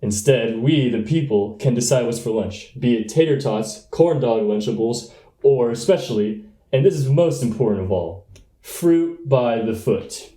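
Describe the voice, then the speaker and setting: A man's voice: medium pitch, measured pace, dark tone, natural voice. Young man as pompous schoolboy candidate, roomy echo. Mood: pompous, folksy. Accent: American. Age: young adult. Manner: pompous politician-style speechifying. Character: brash young boy running for student president. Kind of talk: school radio play, mock political debate speech.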